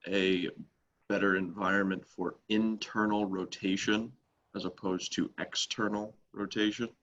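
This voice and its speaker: A man with a very deep voice comes through on muffled, lo-fi call audio. He reasons aloud tentatively, putting informal technical questions to the host. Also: fairly bright tone. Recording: dull, low-fidelity call audio.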